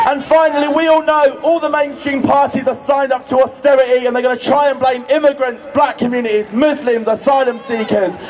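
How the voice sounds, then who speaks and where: Man announcing speakers at a rally. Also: very high-pitched. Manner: introducing speakers energetically. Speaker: rally host. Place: outdoor square rally. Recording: crowd noise, open air.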